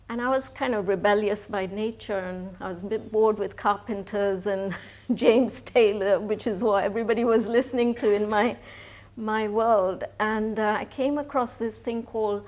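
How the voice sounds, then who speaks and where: A woman's voice: medium pitch, darkish tone. Chatty woman in her sixties. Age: 60s. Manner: chatty, laughs often, frequent restarts. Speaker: interview guest. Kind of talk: live stage interview, personal storytelling.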